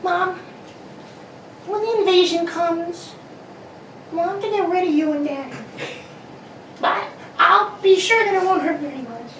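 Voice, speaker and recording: A woman's voice: extremely high pitch, unhurried, long pauses, a bit bright. A woman playing an eager, secretive young girl with an earnest, hesitant, childlike way of talking, in a radio drama. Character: young daughter under eleven, eager, secretive, imaginative. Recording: roomy, distant mic, faint noise.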